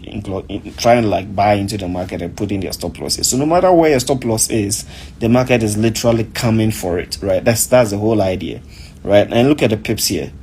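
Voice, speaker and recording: Man from Africa, forex trader, low voice, rambling casual explainer, faint background noise. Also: darkish tone. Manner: conversational, rambling, fills with "you know" and "right?". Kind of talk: casual chart walkthrough.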